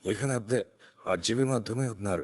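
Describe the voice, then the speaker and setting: A man with a deep voice presents a software tutorial, explaining each step carefully and instructionally. His voice is captured close on a condenser microphone, with a very dry sound and little room in it. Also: brisk, no room sound. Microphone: close USB condenser microphone.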